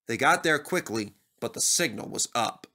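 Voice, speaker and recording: A man narrating a scripted children's story as an animated storyteller. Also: medium pitch, normal speed.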